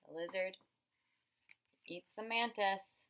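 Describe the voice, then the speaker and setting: A woman teacher reading a picture book aloud to young children, expressive and instructive, stretching words for emphasis and pausing to ask questions. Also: medium pitch, background noise.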